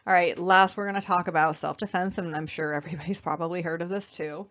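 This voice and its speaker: A young woman with a slightly raspy voice while getting over an illness, giving a casual, conversational slideshow presentation with many fillers. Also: on the low side, fairly even intonation, quick pace, fairly bright tone, lo-fi sound. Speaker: student presenter.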